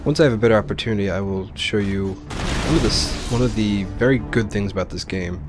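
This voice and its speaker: A man's voice: on the low side, fairly quick. A man giving casual, rambling and exclamatory commentary as host of a video game let's play. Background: game music and sound.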